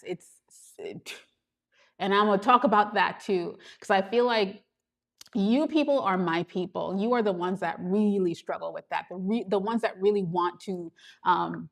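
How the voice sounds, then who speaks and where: A woman's voice: fairly low pitch, gravelly. Bubbly woman livestreaming, laughing often. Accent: Trinidadian. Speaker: YouTube coach streaming live. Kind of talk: casual livestream chat with viewers. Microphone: handheld gimbal camera.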